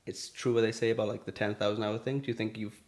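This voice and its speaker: A man's voice: fairly low pitch, little pitch movement, quick pace, a little mellow. A man hosting an interview, asking friendly, rambling questions full of ums and restarts. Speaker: podcast host. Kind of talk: casual interview chat.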